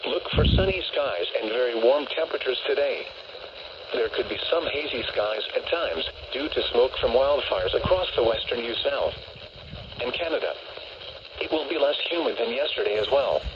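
A man's voice: mid-range voice, wildly swooping pitch, normal speed, vocal fry, lo-fi sound. Man reading forecasts over noisy weather radio. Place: radio broadcast played through a small handheld receiver speaker.

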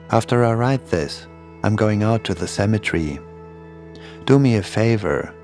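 A man's voice: on the low side, darkish tone. A man reading a scripted narration as the narrator of a horror podcast.

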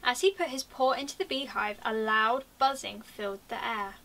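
A woman's voice: on the high side, moderate pace, sharp tone, recorded from a distance. A British woman in her twenties reading a storybook expressively to young children, as a teacher would. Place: small attic bedroom, indoors.

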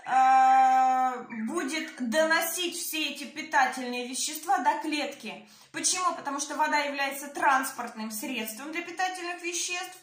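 A woman in her thirties, a health group curator, earnestly explaining a wellness program to a phone camera in a small room, pausing with "uh" fillers. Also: high-pitched, fairly bright tone, echoey room. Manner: earnest, lecturing with many hand gestures and hesitant "uh" fillers. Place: small indoor room at a desk.

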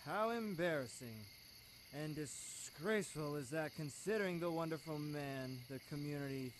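A student actor playing a proud father of high standing who is weighed down by guilt, in a live audio drama. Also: moderate pace, a little hiss or hum, natural voice, low-quality recording. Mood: ashamed, brooding. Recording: music behind, live radio studio.

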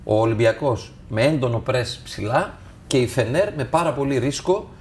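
A man's voice: mid-range voice, expressive, darkish tone, slight background noise. A man talking as a football analyst, walking through match clips step by step and addressing viewers. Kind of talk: tactical match analysis over video.

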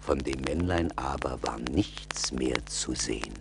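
Male storyteller for a radio play, low register, scripted narration, slight vinyl noise. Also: unhurried, gravelly. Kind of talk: scripted fairy-tale narration. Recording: slightly noisy 1980s vinyl record.

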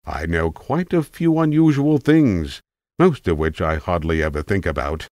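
Man playing a deep-voiced, rambling old tramp. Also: expressive, unhurried, a little mellow, no room sound, clean studio sound. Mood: opinionated, rambling. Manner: digressive, emphatic, self-assured storytelling. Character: rambling, eccentric, opinionated old male tramp. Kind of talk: acted audiobook character monologue.

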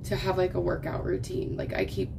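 A woman's voice: fairly low pitch, big pitch swings, brisk, gravelly, background noise. A woman in her thirties chatting while she works on a craft, rambling from thought to thought. She is getting over a lost voice. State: recovering from lost voice, coughing. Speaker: crafting vlogger. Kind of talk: casual chat while diamond painting. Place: indoors at a craft table.

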